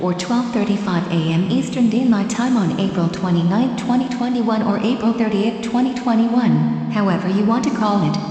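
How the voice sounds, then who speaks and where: In a big hall with long, heavy reverb, an actress plays a female space agency chief addressing the public. She speaks formally and with composure at a middle pitch, reassuring people in an audio drama press conference. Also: dark tone, close to an everyday voice. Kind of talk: formal scripted speech.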